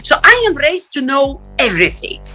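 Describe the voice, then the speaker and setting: Middle-aged woman from Palestine, interview guest, lively storyteller who laughs a lot, sharp bright tone. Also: high voice, moderate pace, faint music behind. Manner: animated, acts out quoted voices, laughs often.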